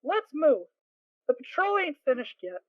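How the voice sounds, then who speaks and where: A woman's voice: high-pitched, sing-song, measured pace, no room sound. A woman voicing a hardened, impatient adult mare soldier who speaks in a curt, dismissive way. The audio is tinny and phone-like.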